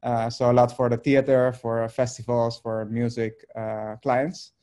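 A Dutch man in his thirties speaks English over a video call from a room at home. His voice is mellow and dark, and he talks haltingly, filling gaps with ums and restarting phrases. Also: mid-range voice, little pitch movement, smooth. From Netherlands. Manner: hesitant, many fillers and restarts. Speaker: artist guest.

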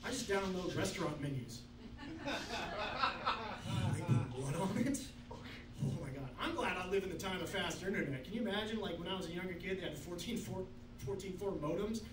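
A man's voice: high-pitched, lively intonation, a little fast. Wry man doing stand-up in a club. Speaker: stand-up comedian. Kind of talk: stand-up comedy set. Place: small club stage. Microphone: handheld mic, filmed from far back. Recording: echoey club, distant camera, noisy.

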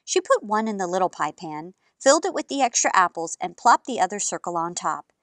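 A woman in her thirties hosting a children's storytime, narrating in an expressive, animated way. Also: fairly low pitch, brisk, dry recording, studio quality. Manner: animated, gesturing.

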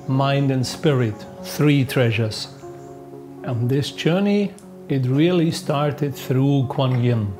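A man in his fifties with a German accent, talking calmly and reflectively about tea in a documentary. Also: medium pitch. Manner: calm, unhurried, reflective. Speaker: tea master. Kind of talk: documentary narration and on-location talk.

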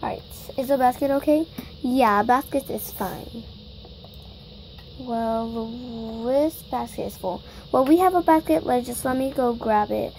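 A woman's voice: fairly high pitch, measured pace, creaky, darkish tone, slight background noise, low-quality recording. A girl improvising a toy shopping scene, chatty and bossy as she runs the story. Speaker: toy video maker. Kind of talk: improvised toy play-acting.

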